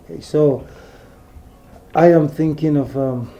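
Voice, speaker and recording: A man's voice: on the high side, fairly even intonation, slow pace, mellow. A man with a Nigerian accent playing an easygoing young crown prince in a naturalistic film drama. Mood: thoughtful, friendly. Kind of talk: naturalistic Nollywood film acting.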